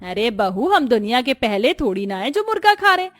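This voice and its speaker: Woman doing bossy indian saas in a cartoon, scolding, lower voice, dry close sound. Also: big pitch swings, normal speed, fairly bright tone, no room sound. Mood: dismissive, bossy. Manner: commanding, scolding. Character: stern bossy middle-aged Indian mother-in-law, scolding and dismissive. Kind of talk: cartoon story dialogue.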